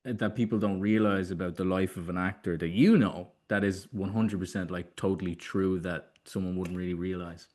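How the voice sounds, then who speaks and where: A man with a very low voice works as a podcast interviewer. He puts his questions casually, feeling his way through loosely built sentences. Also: steady tone, moderate pace, hardly any pauses, darkish tone.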